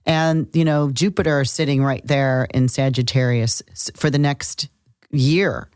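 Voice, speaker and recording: Really deep voiced woman chatting on an astrology podcast, emphatic short bursts, super dry sound. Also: fairly even intonation, a little slow, darkish tone, clean tone, dry recording. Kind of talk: casual conversational astrology talk.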